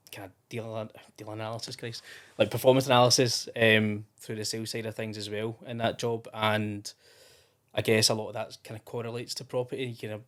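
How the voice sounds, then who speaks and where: A Scottish man in his thirties, a podcast guest in casual, rambling conversation with many restarts. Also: lowish voice. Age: 30s. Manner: chatty and rambling, with frequent restarts and fillers. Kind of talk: casual interview chat.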